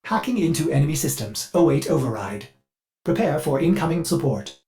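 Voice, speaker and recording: A man's voice: on the high side, big pitch swings, a little fast, darkish tone. An actor voicing a polite, eager male ship AI servant in a video game, with a formal, mannered delivery. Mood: brisk, dutiful. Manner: mannered, formal, refers to itself in third person. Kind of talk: scripted game character voice lines.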